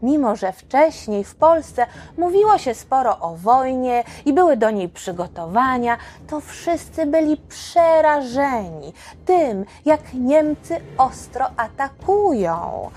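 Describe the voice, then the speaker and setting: Woman storyteller with a higher voice, unhurried. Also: very animated, a little mellow. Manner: conversational, explanatory, dramatic emphasis. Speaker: children's history podcast host. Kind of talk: animated storytelling narration for children.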